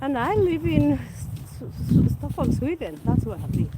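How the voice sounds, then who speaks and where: A woman's voice: sits high, big pitch swings, measured pace, very noisy. A woman in her forties, born in Kenya with Kikuyu as her first language, chatting unhurriedly on a walking livestream with frequent restarts, speaking into a phone held as she walks outdoors. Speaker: livestream host. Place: outdoors on a winter street.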